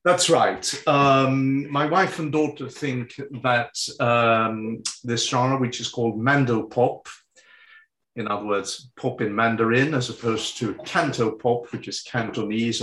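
A man in his sixties with French as his first language is being interviewed. He talks slowly and hesitantly, with plenty of ums and restarts. The sound is roomy and echoey. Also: medium pitch, fairly bright tone. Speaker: interview guest. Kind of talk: relaxed conversational interview.

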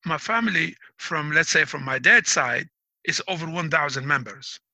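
A middle-aged man speaks with a piercingly bright, tense tone, laying things out as if teaching a class. His delivery is proud and emphatic. The sound is dry and close, with no room echo. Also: a little high, expressive, moderate pace. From Algeria. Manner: explains like a teacher. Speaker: interviewee. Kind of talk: casual interview talk.

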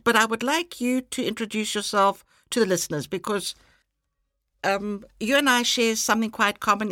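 A woman from Cyprus hosting a podcast interview, asking her guest questions in a gentle, measured way. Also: mid-range voice, no echo, clean studio sound. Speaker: podcast host.

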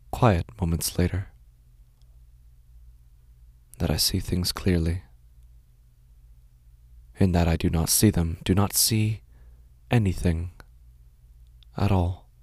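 A man playing an unhinged narrator in a first-person horror audio drama, speaking in a halting, intense, dramatic way and repeating phrases. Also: low-pitched, fairly even intonation, darkish tone, no echo. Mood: hushed, eerie certainty. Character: unhinged man narrating his horrifying loss of sight.

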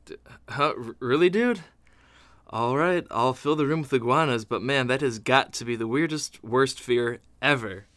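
An actor playing a mischievous, sneering haunter in a comedic audio drama, full of sarcastic muttered asides. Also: male voice, mid-range voice, normal speed, a bit bright, lightly acted. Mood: sarcastic, exasperated. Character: sneering mischievous male haunter reading a scaring manual.

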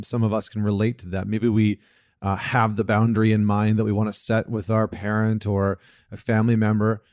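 Reflective man with a low, dry voice. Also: a little mellow, no room sound. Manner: reflective, earnest, with frequent fillers and restarts. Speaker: podcast host, men's coach. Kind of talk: casual solo talk with a read passage.

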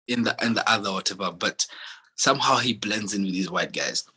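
Young man, lower-pitched and husky, loose conversational storytelling. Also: brisk. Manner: relaxed storytelling, frequent fillers and restarts. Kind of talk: casual chat.

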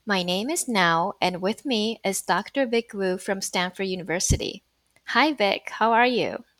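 A woman's voice: mid-range voice, unhurried, fairly bright tone, no room sound. A woman hosting a podcast, introducing the guest and asking friendly questions. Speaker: podcast host. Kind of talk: hosting an interview.

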